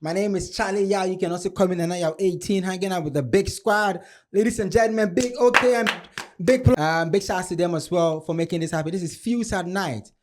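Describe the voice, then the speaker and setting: Male host, a touch high, lively and pushing questions. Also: a little fast, slight background noise. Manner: hyped, shouting out and pressing questions. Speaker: podcast host. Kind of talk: casual panel talk. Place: studio with woven cloth backdrop. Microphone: studio mic on a stand.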